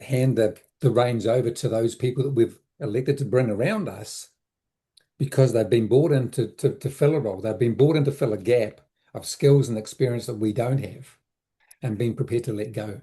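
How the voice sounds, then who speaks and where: A man answering interview questions as an advisor, reflective and halting, often repeating words as he thinks aloud. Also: mid-range voice, warm tone. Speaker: interview guest, business advisor. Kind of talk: conversational explanation in an interview.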